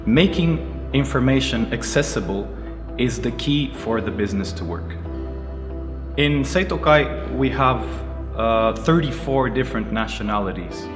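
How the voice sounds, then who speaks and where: A man in his thirties from Portugal, a native Portuguese speaker, answering interview questions in a measured, earnest way with frequent pauses. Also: mid-range voice, lively intonation. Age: 30s. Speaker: karate teacher and entrepreneur. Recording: roomy echoey space with music behind. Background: music playing under the voice.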